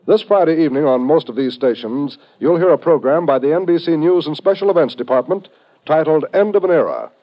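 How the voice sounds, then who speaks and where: A male network announcer from fifties radio with a fairly high voice. He reads formally and declaims his lines, on a slightly dull vintage recording.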